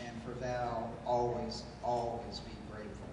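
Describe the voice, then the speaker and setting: A man giving an informal, halting and sentimental introduction as host of a live show. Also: mid-range voice, flat delivery, background noise. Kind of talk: informal stage introduction and dedication. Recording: echoey hall, distant mic, music behind.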